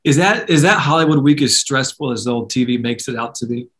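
A man hosting an interview podcast, upbeat and enthusiastic, with quick eager reactions. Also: mid-range voice, fairly bright tone, a little hiss or hum. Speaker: podcast host. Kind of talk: casual interview.

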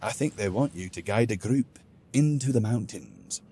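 A grave, dry-humored dwarf companion, as a man puts him on. He coaxes patiently and keeps his voice steady. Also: fairly low pitch, normal speed, slightly rough. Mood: coaxing. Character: calm, persuasive male dwarf companion.

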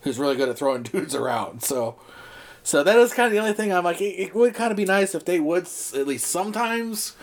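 A man's voice: high-pitched, animated, brisk. Man chatting with many restarts. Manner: rambling, many fillers and restarts, swears casually. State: starting to lose his voice. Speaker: podcast co-host. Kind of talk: casual chat.

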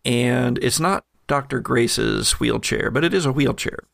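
Creaky-voiced man narrating a tabletop game. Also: mid-range voice, unhurried, fairly bright tone, dry recording, studio quality. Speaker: game master.

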